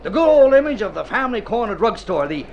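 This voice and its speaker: A boisterous, high-voiced American druggist. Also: male voice, big pitch swings, fast talker, a little mellow, lo-fi sound. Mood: hearty, chummy. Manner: boisterous, folksy, chuckling. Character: hearty, backslapping small-town American druggist on a spree. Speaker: radio drama actor. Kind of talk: golden age radio drama acting. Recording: noisy vintage radio drama recording.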